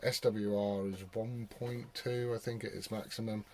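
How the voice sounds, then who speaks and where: A man's voice: lowish voice, steady tone, normal speed, slight background noise. A British man talking in an informal, sweary, rambling way, switching between casual chat and CB radio calls. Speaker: CB radio operator and vlogger.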